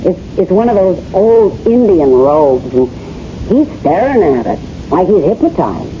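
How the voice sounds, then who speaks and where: A woman's voice: lowish voice, sing-song, a little slow. An actor playing a brassy, flirtatious wife fed up with her husband, in slangy, wisecracking 1970s radio drama style, played by a woman. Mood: animated, gossipy. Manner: slangy, wisecracking, ungrammatical delivery. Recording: muffled, hissy old radio broadcast recording.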